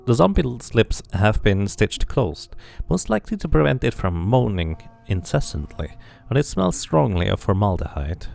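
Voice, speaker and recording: A man's voice: lowish voice, sing-song, moderate pace, vocal fry, few pauses, a little mellow, soft background music. A man streaming a video game, reading its text aloud like a narrator and muttering casual asides to himself.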